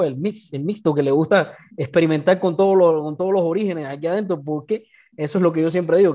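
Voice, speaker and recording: A man's voice: a little high, mellow. A man in his thirties from Cuba, speaking emphatically on a video call and repeating his points with tags such as "right?". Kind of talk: casual video call chat. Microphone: webcam on a video call, earphones.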